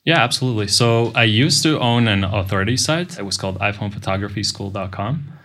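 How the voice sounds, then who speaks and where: A middle-aged man, a podcast guest explaining things in a measured way with frequent pauses. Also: fairly low pitch, animated, fairly bright tone. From Latvia. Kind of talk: casual interview talk.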